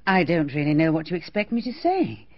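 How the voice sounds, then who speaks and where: An actress playing a brisk, composed, genteel village hostess in a radio drama, proper and matter-of-fact. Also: female voice, low-pitched, very animated, full character voice. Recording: dull, muffled radio drama audio.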